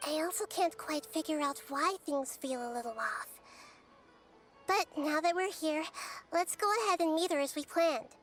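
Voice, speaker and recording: A girlish goddess of wisdom played by a woman with an extremely high voice, gentle and composed. She speaks in a measured, earnest video game style over soft music. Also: lightly acted. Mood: calm, wary.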